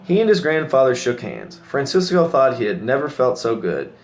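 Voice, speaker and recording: A man's voice: medium pitch, sing-song, moderate pace, faint music behind. A man reading a children's story aloud as a narrator. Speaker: story reader.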